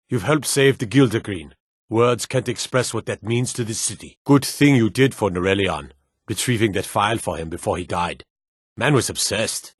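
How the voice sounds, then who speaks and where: An actor playing a gruff, suspicious city guard in a fantasy video game, speaking in a curt, wry, world-weary way. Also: male voice, fairly low pitch, no room sound, clean studio sound. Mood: solemn respect. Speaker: town guard. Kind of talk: video game character voice lines.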